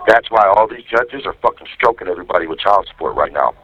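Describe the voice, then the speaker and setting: A man's voice: sits low, animated, quick pace. A man talking casually in slangy, coaxing phrases over a recorded jail phone line, as an inmate calling out. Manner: slangy, profane, cajoling. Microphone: telephone.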